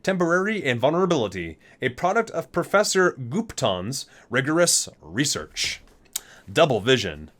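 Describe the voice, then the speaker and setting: A man reads aloud for an ASMR video in a medium-pitched voice that moves up and down a lot. He slips between scripted entries and chatty, geeky commentary. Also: sing-song, moderate pace, a bit bright. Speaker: ASMR video creator reading game item descriptions. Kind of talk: ASMR reading aloud with casual asides.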